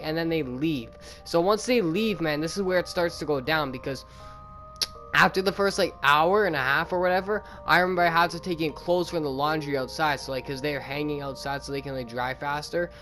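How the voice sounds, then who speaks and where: A young man telling a casual story for a gaming video, in an animated, slangy way peppered with "bro" and "man". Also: a little high. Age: young adult. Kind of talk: casual storytime over gameplay. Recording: music under the voice. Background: music.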